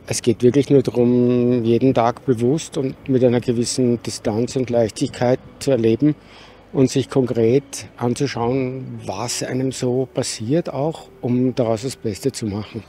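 An older man giving reflective, measured answers in an outdoor street interview. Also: mid-range voice, mellow, a little hiss or hum. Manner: measured, reflective, some hesitations. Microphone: handheld microphone held by interviewer.

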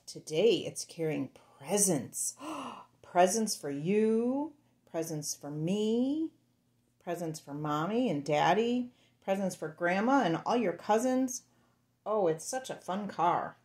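A woman's voice: medium pitch, lively intonation, slow, a little mellow. A woman reading a picture book aloud to small children, sing-song and playful, speaking to them directly. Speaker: children's storyteller.

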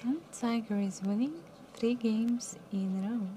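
Soft-spoken young woman dealing cards slowly. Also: fairly high pitch, slight background noise. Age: young adult. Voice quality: soft, mumbly. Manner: calm, polite, repetitive dealer calls. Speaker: live casino card dealer. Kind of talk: announcing card game rounds. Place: casino studio table set.

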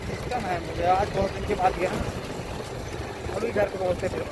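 A man's voice: sits high, fairly even intonation, moderate pace, very noisy. A young man talking casually to viewers into a phone camera held at arm's length, worn out after a workout. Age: young adult. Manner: chatty, informal, addressing viewers as brother. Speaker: vlogger. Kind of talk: casual selfie vlog. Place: outdoors on a motorbike and in a small gym. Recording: phone audio with loud music over it. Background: loud music.

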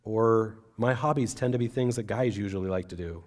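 A man in his forties preaches from behind a wooden pulpit on a church stage. His voice sits a little low, and he speaks in an earnest, measured way. He often voices the doubts of his listeners as quotes. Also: a little mellow. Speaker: pastor. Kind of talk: sermon.

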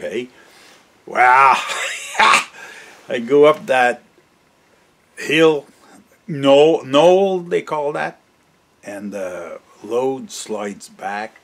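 A man in his sixties with a Canadian accent, telling stories casually in a folksy, halting, jovial way as a vlog host. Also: mid-range voice, a bit bright. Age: 60s. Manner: folksy, halting, often tags sentences with "eh"; jovial, chuckles often. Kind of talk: casual rambling storytelling to camera. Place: cluttered garage workshop, indoors.

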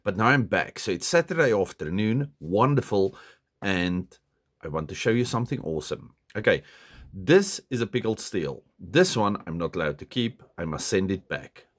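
A man's voice: fairly low pitch, lively intonation, moderate pace. A man from South Africa with a stuffy, nasal voice from a cold, chatting casually through a hands-on knife review at his desk, full of "okay" and "um". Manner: chatty, self-correcting, lots of "okay" and "um". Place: indoors at a desk. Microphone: camera on the desk, hands-only framing.

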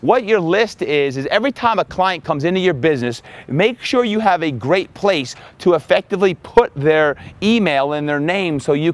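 A man in his forties, a fitness coach, giving energetic marketing tips to the camera outdoors on a lapel mic. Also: on the high side. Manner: energetic, upbeat, talks with his hands. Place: outdoors by a building in sunshine.

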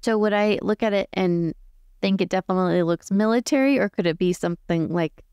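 A woman's voice: mid-range voice, brisk, a little mellow, smooth, no room sound, clean studio sound. A woman chatting casually as a tabletop game player, thinking aloud and asking questions.

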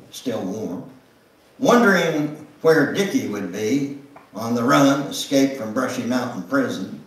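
An older man reads a memoir aloud with deliberate, theatrical storytelling. His pace is slow and his pitch sits in the middle range for a man. The sound is very echoey, picked up at a distance in a room. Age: older, 70s or above. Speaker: guest speaker reading aloud. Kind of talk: dramatic reading of a memoir. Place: church sanctuary, at a lectern. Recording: echoey room, recorded from far off.

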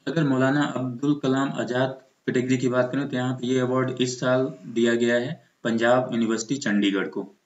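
A man's voice: medium pitch, level tone, normal speed. An Indian man teaching exam preparation in an explanatory lecture style, mixing in English terms. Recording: muffled, echoey room recording.

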